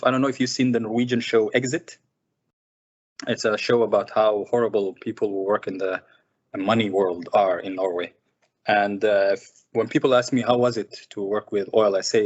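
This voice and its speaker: A man from Sudan with a lowish voice talks about his work as a filmmaker at a seminar. He thinks aloud, filling pauses with "uh" and repeating words as he goes. The recording sounds muffled and lo-fi. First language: Arabic. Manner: thoughtful, many fillers and repeated words. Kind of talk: reflective personal talk.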